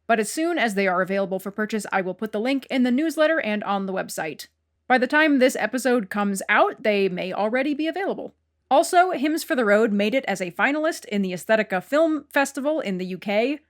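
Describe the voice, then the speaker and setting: A woman's voice: on the high side, lively intonation, a little fast. A woman hosting a podcast, reading scripted announcements to listeners. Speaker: podcast host and producer.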